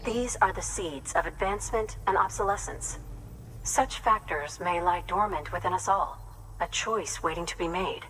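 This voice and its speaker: Low-voiced, studious female scientist, played by an actress. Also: fairly bright tone, slight background noise, natural voice, poor audio. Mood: earnest, musing. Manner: formal, measured, erudite. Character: studious, grateful female scientist researching tar and extinction. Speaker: video game character. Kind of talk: dramatic game dialogue, expository.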